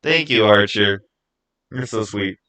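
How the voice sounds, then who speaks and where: A man's voice: fairly low pitch, slow, pushed and bright. A man hosting a livestream, chatting casually and answering viewers in a rambling, conversational way. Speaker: livestream host.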